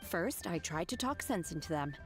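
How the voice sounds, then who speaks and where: A woman voicing a calm, blunt disciple who speaks plainly and literally, in a video game. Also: lowish voice, normal speed, close to an everyday voice. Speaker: disciple and restaurant worker. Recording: game audio with music behind.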